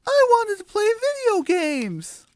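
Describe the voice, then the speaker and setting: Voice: extremely high pitch, wildly swooping pitch, slow pace, a little mellow, no room sound, pitched above the performer's own voice, exaggerated voice. A performer voicing a whiny, petulant young cartoon chicken in a radio parody. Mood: whiny, disappointed. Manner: whining, complaining.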